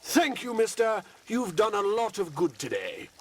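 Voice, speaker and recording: A man's voice: high voice, big pitch swings, a little slow, heavily acted. A man playing an eager, gee-whiz policeman, earnest and exclamatory in a parody of old radio drama.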